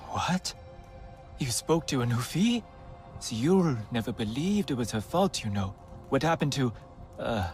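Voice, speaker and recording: A man playing a young alien researcher in a video game, earnest and eager, talking in a halting, animated way. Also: mid-range voice, faint music behind. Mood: flustered, earnest. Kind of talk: video game character acting.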